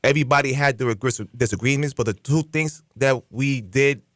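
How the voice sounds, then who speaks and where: A man chatting casually as a podcast host, animated and opinionated, with slangy phrasing. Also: mid-range voice, normal speed, no echo, studio quality. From Cuban background. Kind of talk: casual group chat. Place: community media studio.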